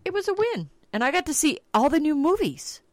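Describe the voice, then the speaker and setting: A woman's voice: high voice, very animated, a little fast, dry recording. A middle-aged woman hosting a casual chat show, telling stories and swearing freely. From Texas. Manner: salty, storytelling, swears freely. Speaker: podcast host.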